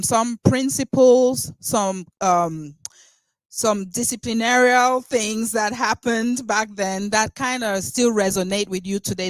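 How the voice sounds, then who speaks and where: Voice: mid-range voice, dry recording. A podcast host talking casually and at length, with frequent restarts and light laughter. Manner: warm-hearted, rambling, with frequent restarts and laughs. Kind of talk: casual conversational interview.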